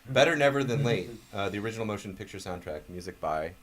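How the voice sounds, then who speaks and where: Low-voiced deadpan male talk show host. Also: a little hiss or hum. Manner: deadpan, halting. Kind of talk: band interview.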